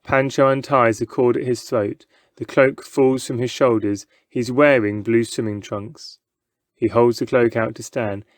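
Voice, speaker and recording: A man reads a children's novel aloud as an expressive storyteller. His voice sits at an ordinary male pitch, and the sound is dry, with little room around it. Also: measured pace, dry recording.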